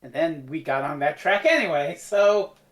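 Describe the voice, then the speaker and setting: Low-pitched woman chatting bluntly. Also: moderate pace. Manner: blunt and sweary. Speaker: podcast co-host. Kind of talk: casual review chat.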